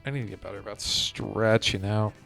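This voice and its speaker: A man's voice: fairly low pitch, a little fast, vocal fry, fairly bright tone. A man chatting casually as he livestreams a video game. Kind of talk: casual gaming chat. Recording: music playing behind the voice. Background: music.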